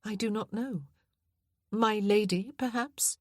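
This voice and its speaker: A woman voicing a humble, earnest young woman teacher who speaks softly and politely. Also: medium pitch, takes it slowly, dry recording, clean studio sound, pitched above the performer's own voice.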